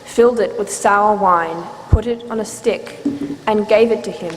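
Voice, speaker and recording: A woman narrating scripture from a script as a measured, solemn storyteller. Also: mid-range voice. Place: church, live reading. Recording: reverberant church hall, faint noise.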